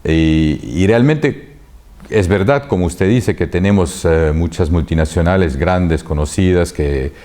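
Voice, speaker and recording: Low, mellow-voiced man speaking haltingly. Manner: halting, many repeated words and pauses. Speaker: interviewee. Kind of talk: thoughtful conversational answer.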